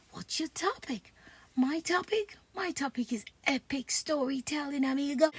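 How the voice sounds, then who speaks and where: A woman's voice: sits high, husky, no echo, heavily acted. A woman playing a cocky schoolboy from a comic strip, self-important and theatrical, in a storybook read-aloud. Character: cocky, dramatic schoolboy with grandiose ideas.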